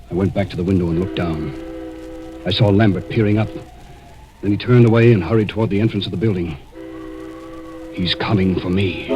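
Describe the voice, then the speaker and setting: An actor playing a desperate, cornered murderer narrating his confession in a tense, dramatic 1940s radio thriller style. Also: sits low. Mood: grim resignation. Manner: tense, dramatic first-person storytelling. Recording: dull 1940s radio recording, music behind.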